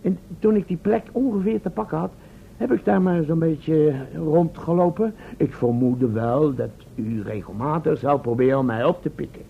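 Casting: cheeky, high-pitched braggart adventurer for a radio serial, colloquial storyteller; tinny, hissy old recording. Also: male voice, normal speed, vocal fry. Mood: breezy. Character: breezy, boastful male time-travel test subject, unschooled. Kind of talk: radio play acting.

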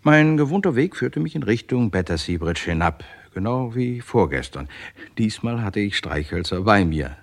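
Lively, husky, wry crime-story narrator. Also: male voice, on the low side, normal speed. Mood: wry storytelling. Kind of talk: first-person radio crime drama narration.

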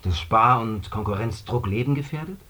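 A man's voice: mid-range voice, animated, normal speed, bright, forceful tone, far from the mic. An actor in a German radio drama playing a sceptical male lawyer who argues and probes in defence of the accused. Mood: questioning. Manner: probing, argumentative.

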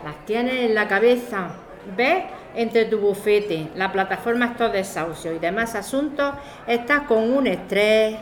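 A woman's voice: mid-range voice, a little slow, echoey room, natural voice. A woman playing an outspoken, protective friend, blunt and familiar, in radio drama dialogue. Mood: teasing concern.

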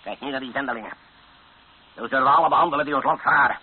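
An actor playing a brutal, domineering rebel colonel in a radio drama, barking commands. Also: male voice, mid-range voice, rapid-fire, close to an everyday voice. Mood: menacing, commanding. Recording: muffled lo-fi radio recording with hiss.

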